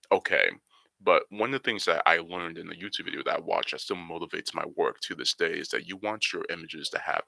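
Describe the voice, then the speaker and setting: A young man, a photographer guest on a podcast, explaining his craft in a relaxed way with lots of "you know" fillers. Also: deep voice, vocal fry, no room sound. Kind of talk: podcast interview answers.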